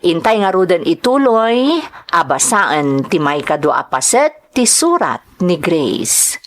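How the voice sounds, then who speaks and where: Ilocano woman narrator with lively, swinging intonation. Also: lowish voice, slow. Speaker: host and narrator of a radio-style drama show. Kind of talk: scripted announcements and letter narration.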